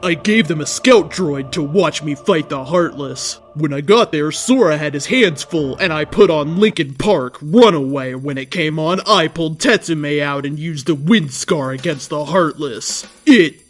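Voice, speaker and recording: A man's voice: a little high, unhurried, hardly any pauses, faint music behind. A man reading amateur fan fiction aloud in the first person, with a dramatic, declamatory delivery. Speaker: story reader.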